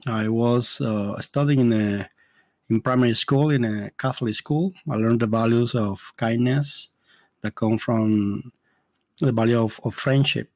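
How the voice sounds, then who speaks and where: A man's voice: medium pitch, unhurried, a little mellow, dry recording. A middle-aged man from the Dominican Republic with a Spanish accent, hosting a podcast as an immigration consultant and speaking earnestly, with frequent fillers and restarts. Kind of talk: solo podcast monologue.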